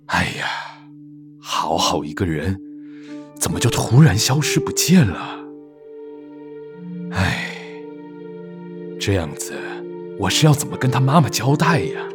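A man's voice: lowish voice, big pitch swings, unhurried, long pauses, over music. A man playing a worried, courteous middle-aged father in a radio drama. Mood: worried, fretting.

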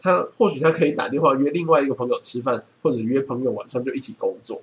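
Fast-talking Taiwanese man. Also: medium pitch, steady tone, rough recording. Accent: Taiwanese Mandarin. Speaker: podcast co-host. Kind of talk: casual chat, reading book passages aloud. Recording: faint background noise.

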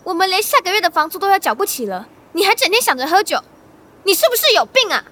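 A woman's voice: very high-pitched, big pitch swings, quick pace, sharp tone, exaggerated voice. A woman playing a guarded, scheming teenage schoolgirl with a bitter edge in a radio drama. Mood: bitter anger. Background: faint music.

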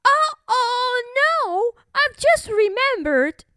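Storybook bully bird played by a woman: high, screechy, scoffing, swooping wildly in pitch. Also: big pitch swings, extremely slow, bright, forceful tone, dry recording, clean studio sound, exaggerated voice. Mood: frightened bluster. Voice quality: screechy, shrieking. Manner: scoffing, blustering.

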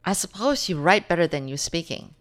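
A woman's voice: lowish voice, big pitch swings, a little fast. A woman from Taiwan hosting a radio studio interview, chatty and full of fillers. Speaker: radio host. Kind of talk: casual interview.

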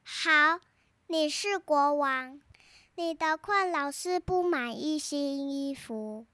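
A woman's voice: sits high, slow, bright, forceful tone, no room sound, exaggerated voice. A young girl playing an earnest, outspoken storybook heroine, reciting her lines carefully. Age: child.

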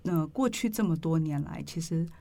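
A woman with a Taiwanese Mandarin accent hosts a conversational interview. Her voice is dark and mellow, with plenty of creak in it. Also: low voice, expressive, normal speed, soft background music. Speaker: interview host.

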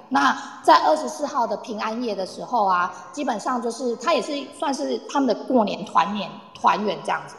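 A woman explains things informally to listeners, in a teacherly way. Her voice is fairly high with a creaky, fry-laden edge. The sound is echoey, with long reverb. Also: fairly bright tone. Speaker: guest speaker explaining Polish culture. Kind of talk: informal explanatory talk to a live online audience. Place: live online audio room, reverberant sound.

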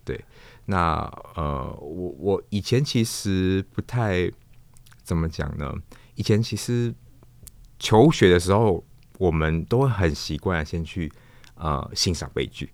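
Middle-aged male interview guest, Taiwanese accent, low-pitched, close dry sound, thoughtful and halting as he reflects. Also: lively intonation, dry recording. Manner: thoughtful, searching for words, repeats phrases. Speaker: guest, stage actor and storyteller.

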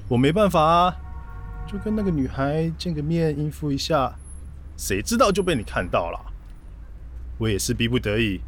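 A man's voice: mid-range voice, a little hiss or hum, lightly acted. An actor playing a placating, evasive boyfriend in his twenties in a radio drama, coaxing in a Taiwanese accent. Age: 20s. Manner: coaxing, excuse-making.

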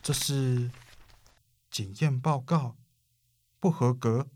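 A man's voice: medium pitch, takes it slowly, natural voice. A man playing an honest, agitated working father, insistent and indignant. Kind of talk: radio drama acting.

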